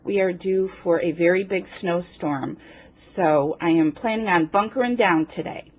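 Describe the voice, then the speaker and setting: A woman's voice: fairly low pitch, unhurried. A woman from New Jersey hosting a casual card-making tutorial, chatting and joking about herself while she works, sniffling a little. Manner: chatty, self-deprecating, talks while working. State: sniffling from a runny nose. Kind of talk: casual craft demonstration. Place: home craft table, indoors. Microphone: camera on tripod above the table.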